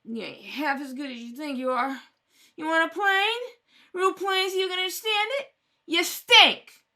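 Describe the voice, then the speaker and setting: A woman acts out a brash, boozy mother in a radio crime play. Her voice is high and piercing. She is slangy, wounded and quick to blame everyone else. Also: bright, forceful tone, exaggerated voice. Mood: furious, scornful. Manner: slangy, brash, wailing, self-justifying. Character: angry, self-pitying hard-drinking neglectful mother. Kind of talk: old-time radio crime drama acting.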